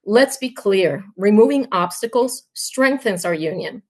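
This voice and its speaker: A woman's voice: medium pitch, animated, a little slow, darkish tone. Emphatic woman from Puerto Rico, native Spanish speaker. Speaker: union candidate, high school Spanish teacher. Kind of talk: prepared campaign speech.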